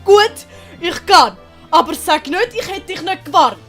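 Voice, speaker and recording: A woman's voice: very high-pitched, animated, unhurried, fairly bright tone, faint music behind, pitched above the performer's own voice, full character voice. A woman with a Swiss accent voicing a small, hot-tempered, loyal male companion in a children's audiobook. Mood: hurt, angry. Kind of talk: dramatised German-language children's audiobook.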